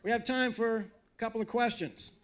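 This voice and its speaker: A man's voice: very high voice, steady tone, fairly quick, fairly bright tone. An older man giving a fiery patriotic speech at a live rally, emphatic and preacherly, with wry asides to the audience. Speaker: guest speaker at a political rally. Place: live event in front of an audience.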